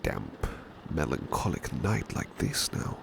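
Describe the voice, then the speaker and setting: A very deep, heavily creaky British gentleman butler acted by a man murmurs in a slow, soft ASMR style. He is courteous and protective, with old-fashioned formal phrasing that drifts into whispers. Steady rain noise sits behind the voice. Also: full character voice. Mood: soothing, reassuring. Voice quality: very deep, gravelly, breathy whispery edge. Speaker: ASMR roleplay performer. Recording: clear background noise, ambient rain sound. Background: rain ambience.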